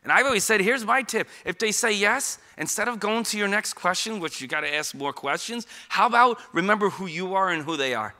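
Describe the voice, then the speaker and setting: A man's voice: high voice, lively intonation, brisk, few pauses, bright, forceful tone. A middle-aged man with a New York accent, giving an informal public talk on suicide prevention, conversational and emphatic, with frequent rhetorical questions. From Queens, New York.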